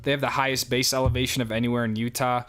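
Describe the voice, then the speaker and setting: A young man with a New York accent, casually answering viewer questions on a livestream with a relaxed, rambling manner and frequent fillers. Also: mid-range voice, racing. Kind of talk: casual live chat.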